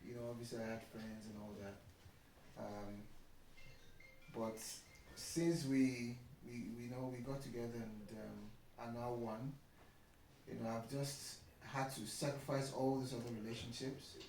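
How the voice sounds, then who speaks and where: A man's voice: mid-range voice, steady tone, measured pace, a little mellow. A Nigerian man with a Nigerian accent, talking casually as an interview guest in an explanatory way and often checking that he is understood. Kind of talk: casual conversation about marriage. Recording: echoey room, distant mic, faint noise.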